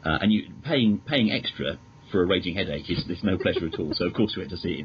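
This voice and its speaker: A British man chatting as a podcast guest, measured and polite, often stammering and restarting his sentences. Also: sits low, lively intonation. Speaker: podcast guest, magazine editor. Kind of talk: casual interview chat about films. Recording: dull lo-fi audio with clear noise.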